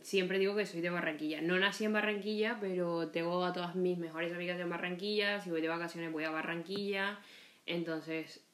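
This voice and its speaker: A woman in her late twenties with a Colombian accent, co-hosting a casual podcast chat with a friend, chatty and quick to laugh. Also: on the low side, fairly even intonation, echoey room. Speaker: podcast co-host.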